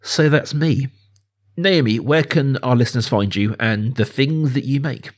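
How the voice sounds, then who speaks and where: Man, podcast host, mid-pitched voice, casual film chat, enthusiastic, halting and discursive.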